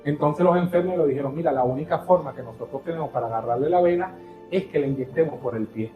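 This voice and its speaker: A man from Caracas with a Venezuelan accent, telling his story as an interview guest in an earnest, halting way with many restarts. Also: on the high side, reverberant, narrow, tinny audio, faint music behind. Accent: Venezuelan Spanish.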